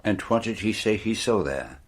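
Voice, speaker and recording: An actor playing a proud, shrewd detective with a Belgian French accent in a radio play, speaking formally and precisely. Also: male voice, fairly low pitch, expressive, normal speed, slight background noise, close to an everyday voice. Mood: gentle, pressing.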